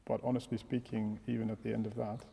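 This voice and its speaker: A quick, gravelly male voice with a mellow, dark tone talks through his thoughts with frequent restarts. He is an English priest in middle age, speaking in a reverberant hall. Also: fairly low pitch, level tone. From England. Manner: thoughtful, reflective, hesitant restarts. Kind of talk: casual panel discussion. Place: church hall.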